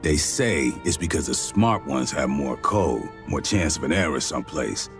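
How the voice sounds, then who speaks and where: A man's voice: rumbling low, lively intonation, moderate pace. Plain-spoken male soldier, video game, bottom-of-the-range voice, wry musing, faint music behind. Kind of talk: scripted video game acting.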